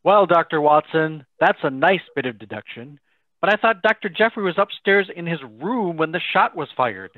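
Vintage male radio announcer, performed by a man: formal, smooth salesmanship, slightly high pitch, thin phone-like audio. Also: animated, moderate pace, no echo, lightly acted. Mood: polished, promotional. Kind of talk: old-time radio announcing.

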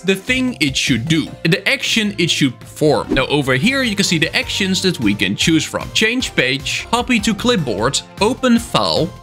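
A Dutch-native man hosting a Minecraft tutorial as a voice-over, explaining step by step with upbeat enthusiasm over faint background music. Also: a little high. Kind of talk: screen-recorded software tutorial. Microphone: voice-over over gameplay footage. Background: soft background music.

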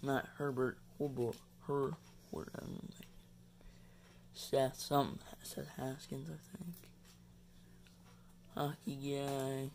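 A man casually chatting while opening trading card packs at home, reading player names haltingly. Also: medium pitch, steady tone, a little mellow, slight background noise. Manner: mumbling, reads names haltingly. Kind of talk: casual box-break chat. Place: indoors at home, carpeted floor.

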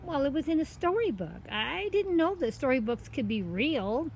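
A woman's voice: high-pitched, sing-song, normal speed, a little mellow, voice pushed up, poor audio. A woman voicing a timid, polite little girl in a children's story, hesitant and earnest. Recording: outdoor ambient noise, faint.

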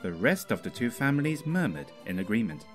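A man narrates a story from a script. His voice sits fairly low and moves in a lively, expressive way, with soft music underneath. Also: a little fast. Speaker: fanfiction narrator.

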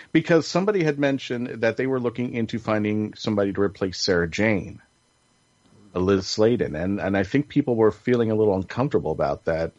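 A man with a low voice hosts a casual podcast panel. He speaks haltingly, often circling back to reword a question. The sound is dry and slightly dull. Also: darkish tone, no room sound. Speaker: podcast host.

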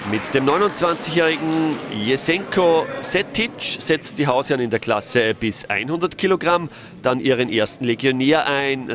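A man giving matter-of-fact commentary as a voice-over, lifting his voice at the key moments. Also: mid-range voice. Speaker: sports commentator. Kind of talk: recorded match commentary voice-over. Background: cheering crowd in a sports hall.

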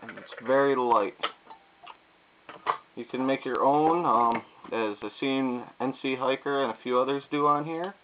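Man with tinny lo-fi camera audio. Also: medium pitch. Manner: plain, unhurried, frequent "uh" and "um" pauses. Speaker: YouTube vlogger. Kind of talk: casual gear demonstration. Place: indoors at a wooden table. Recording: tinny lo-fi audio with faint hiss.

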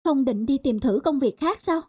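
A woman voicing a gentle, encouraging young village wife in a folk tale cartoon. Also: high voice, expressive, moderate pace.

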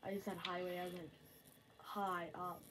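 A woman's voice: on the low side, level tone, background noise. A woman with a sore throat, casually commenting on her game from a bedroom and rambling to herself. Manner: slow, rambling, talks to self. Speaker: gamer.

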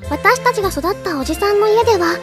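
A woman's voice: very high voice, lively intonation, fast talker, over music. A woman playing a young girl from a hero's circle of companions in an anime audio drama. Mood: earnest.

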